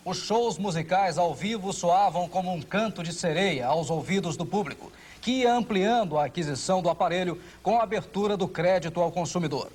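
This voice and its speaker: High, husky male documentary narrator. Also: fairly quick, darkish tone. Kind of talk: scripted television documentary narration.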